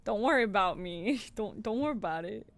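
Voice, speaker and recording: A young woman streamer and voice actor chatting casually with viewers in an animated way, swearing freely. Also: brisk. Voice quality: mid to low voice. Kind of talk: casual stream chat answering viewer questions.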